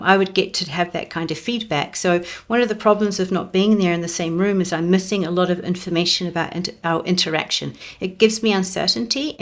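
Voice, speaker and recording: A woman giving a prepared academic talk remotely into a close mic, in a measured, reflective manner. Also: fairly low pitch. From New Zealand. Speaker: conference presenter. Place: presenting remotely over the internet. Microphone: close microphone.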